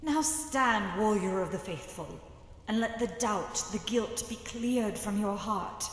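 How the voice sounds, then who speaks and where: A woman voicing the knowing spirit of a dead sister in a game mod, measured and portentous, with hushed phrase endings. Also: medium pitch, slight background noise, lightly acted. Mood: tender, forgiving. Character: long-dead sister's spirit, solemn, knowing, finally forgiving. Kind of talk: dramatic video game mod voice acting.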